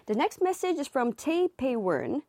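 A woman's voice: high-pitched, sing-song, normal speed, darkish tone, dry recording. A woman DJ hosting a live radio show in the studio, reading out listener messages in a bubbly, nervous way on her first broadcast.

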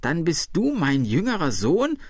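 An agitated old woman from an audiobook story, as acted out by a male narrator. The voice jumps high and swings widely, confused and full of suspicion, flinging indignant accusations in a close, dry sound. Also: wildly swooping pitch, a little slow, no room sound, full character voice. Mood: suspicious, agitated.

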